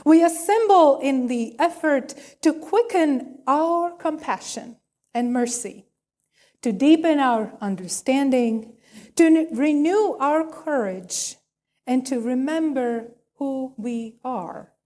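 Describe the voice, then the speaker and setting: A woman minister speaking in a measured, deliberate way as she leads a worship service and reads liturgy. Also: high voice, expressive, mellow. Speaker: minister leading a church service. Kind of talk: sermon and liturgical reading.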